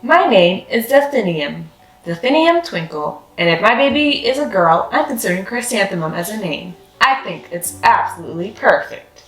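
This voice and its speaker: A woman voicing a gracious, kindly mouse music teacher in a storybook, poised and deliberate. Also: medium pitch, very animated. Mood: kindly, proud. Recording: roomy echo with faint music.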